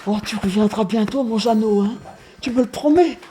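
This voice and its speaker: A woman's voice: fairly high pitch, moderate pace, warm tone, a little hiss or hum, natural voice. A woman playing a devoted, fierce middle-aged peasant mother in an audio drama. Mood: tender, anxious. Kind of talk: French audio drama acting.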